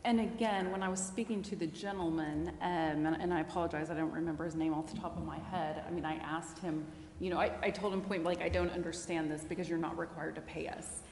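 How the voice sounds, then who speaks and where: A woman legal adviser explaining a matter to a public meeting in a measured way. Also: low-pitched, fairly even intonation, darkish tone. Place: council chamber. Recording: very echoey hall, faint noise.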